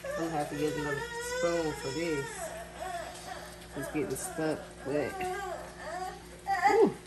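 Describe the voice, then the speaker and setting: A woman's voice: sits low, measured pace, loud music behind the voice. A woman casually talking viewers through her cooking in a home kitchen, filmed on a phone over the stove. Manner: relaxed, chatty, addresses viewers as y'all. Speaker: home cook vlogger. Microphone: phone camera filming over the stove. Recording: echoey kitchen with cooking noise. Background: sizzling pans and clattering pots.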